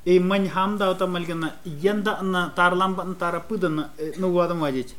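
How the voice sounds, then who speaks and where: A man interpreting a sermon phrase by phrase, quick and hesitant, with fillers. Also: high voice, fairly even intonation, a bit bright.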